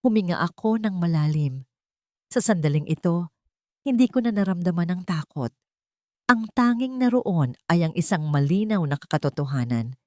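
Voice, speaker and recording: Dramatic female storyteller, lowish dry voice. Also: lively intonation, normal speed, dark tone, no echo. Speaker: audio drama narrator. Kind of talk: dramatic scripted story narration.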